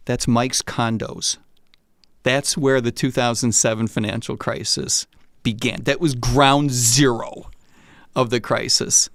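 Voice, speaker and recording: A man's voice: mid-range voice, steady tone, slow pace, no echo. A man in his sixties, a retired economist, telling stories as a guest in a casual interview and often repeating words when he starts a sentence.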